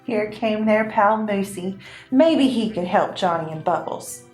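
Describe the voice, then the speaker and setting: A woman's voice: medium pitch, animated, measured pace. A woman narrating a children's puppet show in a scripted storytelling style. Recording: echoey room with music behind.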